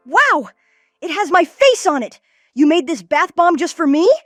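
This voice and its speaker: A woman plays an earnest young boy squad leader with a high voice, giving orders in an animated comic dub. Music plays clearly behind the lines. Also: unhurried, full character voice. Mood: delighted. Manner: commanding, earnest.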